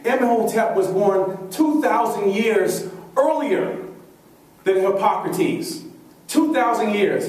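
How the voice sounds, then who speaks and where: A man's voice: fairly high pitch, a little mellow. A man giving a keynote lecture to medical students, emphatic and putting rhetorical questions to the audience. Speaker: keynote speaker. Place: large lecture hall. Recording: echoey hall, distant mic.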